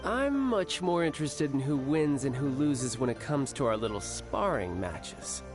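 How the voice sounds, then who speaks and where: Male actor as cocky, charming young game warrior, lively, teasing, music under. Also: medium pitch, measured pace, natural voice. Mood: playful. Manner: smooth, teasing, mock-formal. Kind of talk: game cutscene voice acting. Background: background music.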